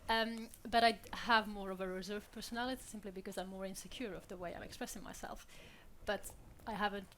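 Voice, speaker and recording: A woman's voice: mid-range voice, fairly even intonation, quick pace, fairly bright tone, slight background noise. Candid laughing young woman panelist. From Switzerland. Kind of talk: panel discussion.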